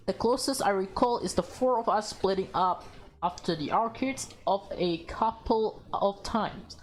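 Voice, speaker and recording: A woman doing a casual playthrough, reading visual novel dialogue aloud, stumbling over lines and reacting to them. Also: medium pitch. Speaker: video creator playing a visual novel.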